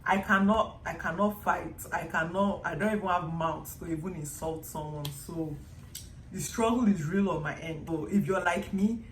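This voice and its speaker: A woman's voice: fairly low pitch, normal speed. A young woman from Nigeria with a Nigerian accent, chatting casually to her vlog audience and acting out little quotes as she tells stories. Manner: chatty, animated storytelling with acted-out quotes. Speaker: vlogger. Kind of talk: casual vlog monologue to camera. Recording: echoey room with faint background noise.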